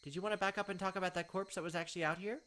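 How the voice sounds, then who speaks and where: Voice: mid-range voice, fairly even intonation, very fast, fairly bright tone, slight background noise. A let's play host reading a visual novel aloud and dropping in offhand, casual commentary. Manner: reads aloud and drops in offhand asides. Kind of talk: game narration with casual commentary.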